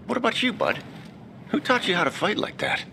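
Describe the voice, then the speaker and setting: A man's voice: high voice, huge pitch swings, fairly quick, creaky, sharp tone, a little hiss or hum, heavily acted. A male actor playing a breezy, joking soldier in a video game cutscene. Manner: breezy, teasing. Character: jokey, rough-and-ready male soldier.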